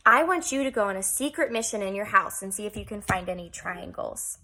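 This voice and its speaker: A woman's voice: medium pitch, lively intonation, a little fast, pushed and bright, poor audio. A woman teacher reading aloud to young children in an exaggerated, singsong storytelling manner, often putting questions to them.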